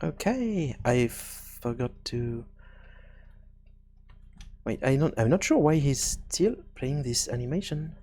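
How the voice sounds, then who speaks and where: A man giving an informal software tutorial, thinking aloud in a halting, self-correcting way. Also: medium pitch, sing-song. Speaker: tutorial presenter.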